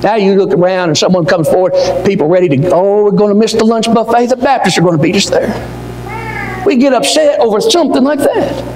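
Older man preaching a sermon from the pulpit, high and animated, with room echo behind him. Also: slight background noise. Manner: impassioned, rising to near-shouts, dropping low and slow. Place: church auditorium. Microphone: pulpit mic, wide far camera. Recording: echoey hall with long reverb.

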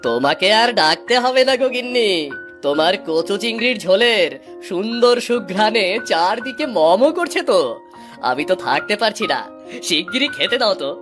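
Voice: medium pitch, animated, normal speed, close to an everyday voice. An actor as cartoon village husband, folksy, exclaiming, grumbly yet affectionate, background music. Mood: coaxing, eager. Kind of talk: cartoon voice acting.

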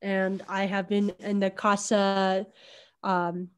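A young university student from Kazakhstan, raised in Colorado, speaking casually on a video call. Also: female voice, medium pitch, level tone, smooth. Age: young adult. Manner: casual, apologetic, quick.

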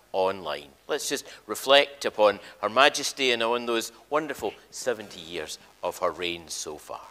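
A male minister speaking in a measured, solemn way with emphasis, addressing a congregation and reading scripture in a church service. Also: mid-range voice, sharp tone. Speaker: minister leading worship. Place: large church interior. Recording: long church reverb.

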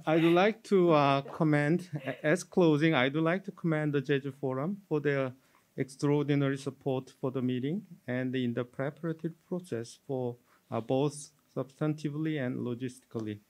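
A middle-aged man with a Korean accent moderating a diplomatic panel on a conference stage, speaking in measured, formal phrasing. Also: a little high, fairly even intonation, low-quality recording. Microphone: standing stage mic.

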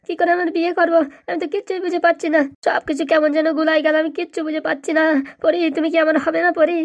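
Helpless cartoon son played by a woman: high, plaintive, fretful, very rapid speech. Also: level tone, highs cut off. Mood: desperate, lamenting. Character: anxious, whiny, helpless young son. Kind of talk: comic cartoon dialogue.

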